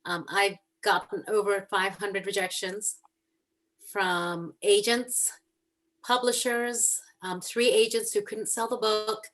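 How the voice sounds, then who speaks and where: A woman's voice: mid-range voice, slow, poor audio. Earnest woman author on a video call. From Hong Kong. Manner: earnest, animated storytelling with frequent ums. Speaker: guest author. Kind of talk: casual chat on a video call.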